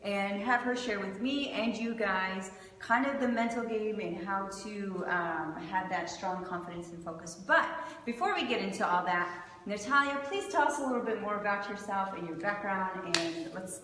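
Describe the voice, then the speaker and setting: A woman's voice: medium pitch, normal speed, fairly bright tone. A woman hosting a casual interview show, chatting in an upbeat, enthusiastic and encouraging way. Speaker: show host. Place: echoey indoor clothing shop. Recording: echoey reverberant room, distant, noisy.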